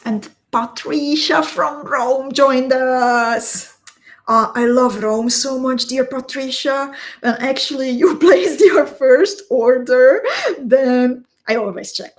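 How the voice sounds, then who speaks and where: Slow-talking woman teaching beading. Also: high voice. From Slovakia. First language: Hungarian. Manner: slow, step-by-step instructions, chatting with viewers. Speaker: beading instructor. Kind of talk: live-stream craft tutorial.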